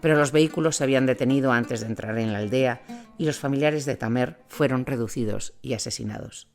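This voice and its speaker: Man acting as male war reporter, mid-pitched voice, measured solemn narration, grave storytelling, audio drama, music underneath. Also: creaky, natural voice. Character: male war reporter recounting grim events in Iraq. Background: music bed.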